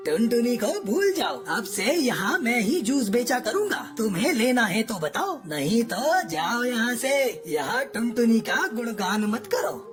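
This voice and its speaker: Woman voicing a bossy, high-pitched cartoon crow. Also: very animated, a little slow, few pauses. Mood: curt, dismissive. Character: greedy, scheming male crow trader, later regretful. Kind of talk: Hindi cartoon moral story dialogue. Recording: loud music bed under voice. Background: background music.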